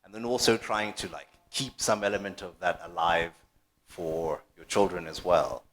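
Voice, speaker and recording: A man's voice: low voice, fairly even intonation, moderate pace, fairly bright tone. A man from South Africa whose first language is Afrikaans, moderating a panel discussion and asking thoughtful questions with frequent restarts. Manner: thoughtful, hesitant with repeated restarts.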